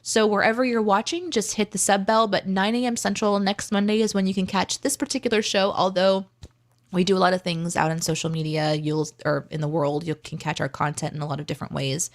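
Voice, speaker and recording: A woman's voice: mid-range voice, fairly even intonation, a little fast. A woman co-hosting a live show, chatting casually in an encouraging, chatty way. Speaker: co-host of a live stream and podcast. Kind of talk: casual livestream chat.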